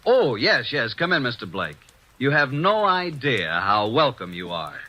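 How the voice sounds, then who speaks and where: An actor playing a brash, wisecracking young assistant detective in a 1950s radio drama, breezy and cheeky. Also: male voice, medium pitch, very animated, unhurried, sharp tone, full character voice.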